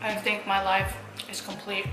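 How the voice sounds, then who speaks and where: A woman's voice: medium pitch, completely flat delivery, normal speed, reverberant, over music. A young woman talking casually to her viewers while eating, chatty, laughing often and using plenty of fillers. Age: young adult. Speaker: mukbang vlogger.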